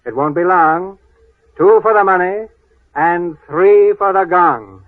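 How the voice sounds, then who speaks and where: A man reciting a short rhyme in a slow, chanting style on 1930s radio. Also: high-pitched, dry recording. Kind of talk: chanted show sign-off rhyme. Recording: tinny 1930s radio, music behind.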